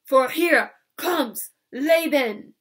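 A woman's voice: sits high, fairly bright tone, pitched above the performer's own voice, full character voice. Woman doing a bellowing drunken bully. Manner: bellowing, slow and menacing. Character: drunken bullying strongman, male, huge and loud.

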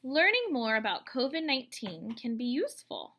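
A woman in her thirties reading a picture book aloud to children, explaining as she goes in an expressive way. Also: sits high, normal speed, a little hiss or hum. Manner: expressive, explanatory, addressing young listeners. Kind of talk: read-aloud storytelling for children with asides. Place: room at home, indoors.

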